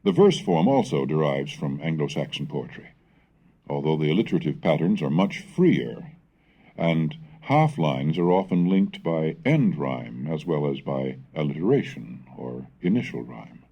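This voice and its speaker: A man reading a scholarly script in a measured, formal, lecturing manner, as narrator of a language history lesson. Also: rumbling low, sing-song, vocal fry, breathy edge, lo-fi sound. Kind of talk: scholarly scripted narration and recitation of old texts.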